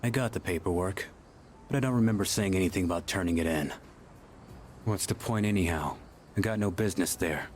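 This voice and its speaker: An actor playing a brooding, blunt delinquent high school boy in a video game, gruff and curt. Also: fairly quick, pauses often, a little mellow, slight background noise, close to an everyday voice. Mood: gruff, dismissive.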